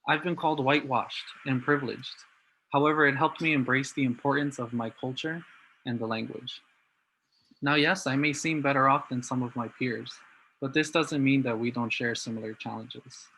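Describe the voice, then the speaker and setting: Bright-voiced young man giving a talk. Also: medium pitch, steady tone, normal speed, a little hiss or hum, poor audio. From New Jersey, USA. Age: young adult. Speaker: student speaker. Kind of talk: prepared talk.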